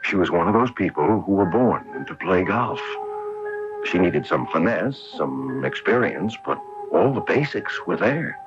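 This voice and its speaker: An actor playing an earnest, wistful professional golfer in a radio mystery drama, with a husky voice. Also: male voice, low voice, expressive, unhurried, music in the background. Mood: wistful storytelling. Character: wistful, earnest male professional golfer recalling his wife. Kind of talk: radio mystery drama acting, narration and dialogue. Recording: dull lo-fi AM radio broadcast.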